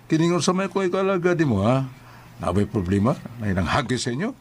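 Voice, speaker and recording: A man with a Cebuano accent playing a gruff, menacing police officer who blusters and interrogates in a comic audio drama. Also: mid-range voice, expressive, fairly quick, a little hiss or hum, lightly acted. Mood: blustering. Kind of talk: comic Filipino radio-style drama acting.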